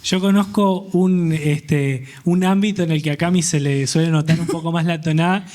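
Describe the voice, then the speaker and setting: A man hosts a church youth interview, joking lightly and pausing with fillers. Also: a little high, fairly even intonation, a little mellow. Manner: friendly, joking, halting with fillers. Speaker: host.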